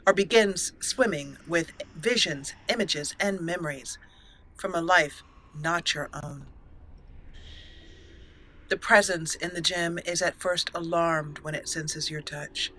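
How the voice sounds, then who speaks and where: A woman's voice: fairly low pitch, measured pace, pushed and bright, slight background noise. A woman hosting a let's play, switching between storytelling narration and commentary on her roleplay game.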